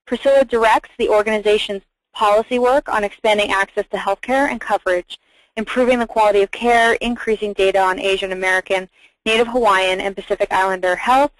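A woman's voice: mid-range voice, steady tone, brisk, no room sound, poor audio. A woman moderating a webinar, reading housekeeping notes and speaker introductions in a careful, scripted way with small restarts.

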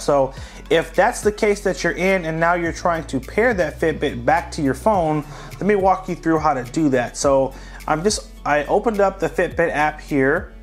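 A man hosting a tech tutorial, calmly narrating each step of a device reset in a casual, friendly way. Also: a little high. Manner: friendly, relaxed, walks viewers through each step.

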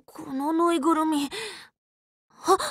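Anime game role: teenage magical girl, loyal tomboy leader, bright forceful tone, very lively intonation, dry close studio sound, frank and casual. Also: high voice, slow, no room sound, exaggerated voice. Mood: flustered. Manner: casual, boyish, spirited, frank speech. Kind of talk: anime game character voice lines.

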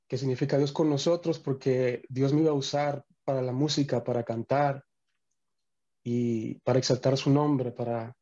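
Young guy on a video call, warm mellow voice, earnest, kinda halting, dull lo-fi sound. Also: mid-range voice. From Dominican Republic. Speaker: guest worship musician. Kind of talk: conversational interview over video call. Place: small home room.

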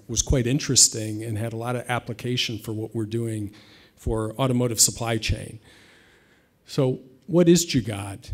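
Thirties male presenter at a stage podium, native English speaker, easygoing, dotted with ums, mid-pitched voice. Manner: conversational, light humor, frequent fillers. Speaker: conference speaker. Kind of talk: conference presentation. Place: conference stage. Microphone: podium mic.

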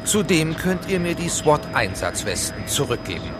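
An actor playing a composed police team chief with stiff, clipped, formal phrasing in a children's audio drama. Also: male voice, fairly high pitch, very animated, normal speed, music in the background. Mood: matter-of-fact.

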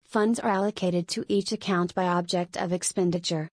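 A woman reading an exam sample passage aloud in an even, careful way. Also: lowish voice, moderate pace, no room sound. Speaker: sample answer reader.

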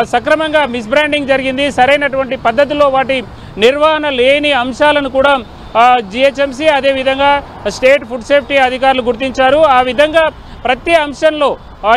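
A middle-aged man giving a halting live news report into a handheld microphone outdoors. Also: extremely high pitch, steady tone, fairly bright tone, poor audio. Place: busy city roadside, outdoors. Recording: faint outdoor street noise. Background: faint traffic.

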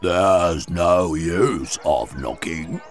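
Ponderous, gravelly, very deep fish footman. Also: very slow, exaggerated voice. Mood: aloof, droning. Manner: drawling, ponderous. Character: gloomy fish footman, slow and obtuse.

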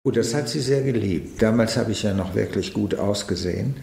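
An older man reminisces in an interview with a low, gravelly voice. He speaks reflectively and haltingly, in an echoey room. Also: a little mellow. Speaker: interviewee, eyewitness. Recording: echoey room with faint noise.

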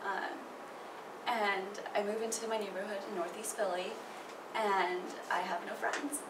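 A woman's voice: mid-range voice, fairly even intonation, a little slow. A young woman whose first language is not English, telling a childhood story in a chatty way with many ums. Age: young adult. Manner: chatty, many ums, laughs between phrases. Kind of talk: casual personal anecdote. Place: small indoor room by a theatre poster. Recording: roomy, distant, noisy.

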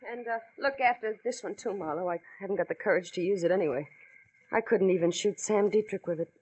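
An actress with an American accent playing a tough but lovelorn dame, wistful and weary, in a 1940s radio noir drama. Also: female voice, mid-range voice, fast, darkish tone, husky, lightly acted, poor audio. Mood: regretful. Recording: old radio drama recording.

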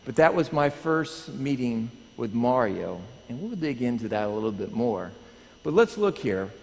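A man in his thirties, a pastor, preaching in a lively, animated way and questioning his congregation. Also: medium pitch, normal speed, creaky. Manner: animated, pacing the stage, asking the congregation. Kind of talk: lively sermon with prayer. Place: large church sanctuary. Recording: long church hall reverb.

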